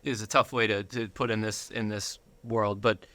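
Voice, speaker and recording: Man, interview guest, bright sharp tone, dry sound, conversational, halting, repetitive phrasing. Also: on the low side, little pitch movement, no room sound. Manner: halting, repeats words, searching for phrasing. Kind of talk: conversational personal testimony.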